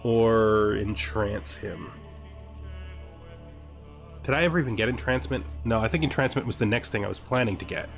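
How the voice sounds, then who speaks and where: A man's voice: on the low side, normal speed, long pauses, faint music behind. A man giving casual gameplay commentary, thinking aloud and often muttering questions and then answering them himself. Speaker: gamer narrating a let's play.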